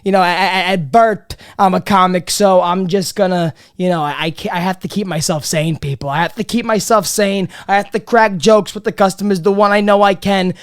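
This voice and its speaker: A man's voice: high voice, steady tone, a little fast, hardly any pauses, clean tone. Rambling, foul-mouthed man losing his voice. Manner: rambling, profane, many restarts and repeats. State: losing his voice, a bit under the weather. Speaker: podcast host. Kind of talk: casual comedy podcast monologue.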